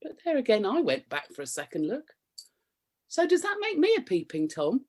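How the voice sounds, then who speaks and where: A woman's voice: fairly high pitch, huge pitch swings, moderate pace, darkish tone. An actress with a British accent playing a prim, flustered married woman, coy and curious, in a radio comedy. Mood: guilty, coy. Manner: coy, flustered, innuendo-laden delivery with nervous chuckles. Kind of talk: radio play comedy acting, confessional monologue and banter.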